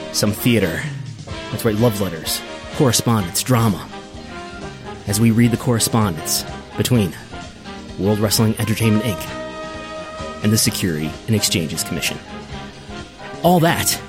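An American man hosting a podcast, reading through news in a deliberate, announcer-like way. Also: medium pitch. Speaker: podcast host.

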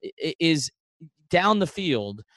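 A man's voice: fairly high pitch, very animated, takes it slowly, fairly bright tone, no room sound, studio quality. The man you'd hear on a sports podcast recorded in a deadened room, making his case emphatically and repeating himself to drive points home. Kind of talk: conversational sports analysis.

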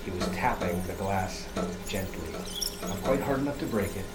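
A man's voice: sits low, moderate pace, loud music behind the voice, natural voice. An actor playing a grim, haunted lighthouse keeper, telling his story with dramatic suspense in an audio horror drama. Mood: hushed dread. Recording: echoey, noisy radio play sound.

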